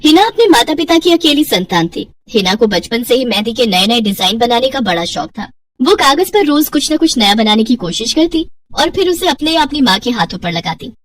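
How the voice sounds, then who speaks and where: A woman's voice: fairly high pitch, big pitch swings, a little fast, recorded from a distance. A woman narrating a scripted moral story as a storyteller.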